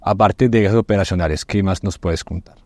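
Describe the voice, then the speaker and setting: A man in his thirties hosts a podcast with a fairly low voice. He sums up what was said and puts questions to his guest. The studio sound is dry and close. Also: brisk, darkish tone, dry recording. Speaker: podcast host. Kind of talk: interviewing, summing up for listeners.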